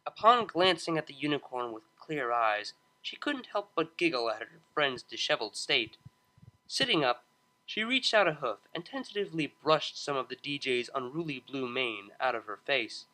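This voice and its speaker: Male storyteller for scripted fiction, lively intonation, bright and forward tone. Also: mid-range voice, normal speed, low-quality recording. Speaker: audiobook narrator. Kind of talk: expressive scripted narration of fan fiction.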